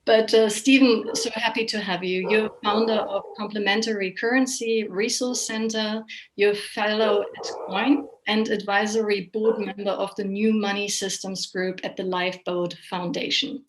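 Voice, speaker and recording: Female moderator for online discussion, mid-pitched, chatty and keen, introduces guests and asks questions. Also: low-quality recording. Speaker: host, moderator of an online panel. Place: online video call.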